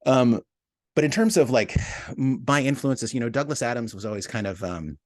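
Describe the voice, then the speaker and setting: A man speaking as an interview guest, talking casually and reflectively about his writing work, thinking aloud in halting fragments. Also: medium pitch, a little mellow, no echo, clean studio sound. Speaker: audio drama writer and producer, interview guest.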